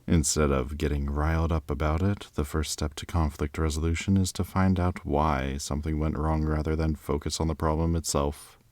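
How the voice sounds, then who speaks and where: Man acting as very deep-voiced polite diplomat candidate. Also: flat delivery, moderate pace, few pauses, a little mellow, no echo. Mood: thoughtful. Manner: courteous, thoughtful. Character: polite, reflective, charming male diplomat candidate. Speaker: job candidate. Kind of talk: audio drama acting, conversational interview answers.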